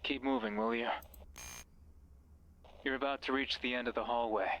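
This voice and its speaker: A man playing a mysterious, controlling guide in a horror game, speaking in a commanding, condescending, deliberate way with menace and false care. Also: mid-range voice, steady tone, a bit bright, dull audio, a little hiss or hum, natural voice. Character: mysterious controlling male voice guiding through a hospital, menacing, falsely caring. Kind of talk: horror visual novel voice acting. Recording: music behind the voice.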